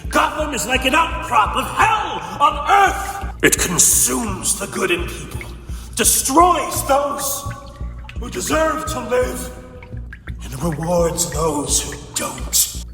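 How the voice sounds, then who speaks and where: A man's voice: extremely high pitch, wildly swooping pitch, slow pace, pushed and bright, heavily acted. A man playing a bitter, grief-stricken, vengeful ice supervillain in a comic dub, with theatrical, brooding delivery. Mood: furious, anguished. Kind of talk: dramatic comic dub acting. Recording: echoing reverb, music underneath. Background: music.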